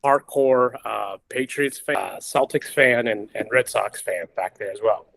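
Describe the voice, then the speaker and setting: Slow, halting middle-aged man from Boston. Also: fairly low pitch, vocal fry, fairly bright tone. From Boston, United States. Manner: halting, pausing often mid-sentence. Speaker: guest, company founder and investor. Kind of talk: casual introduction in an online interview.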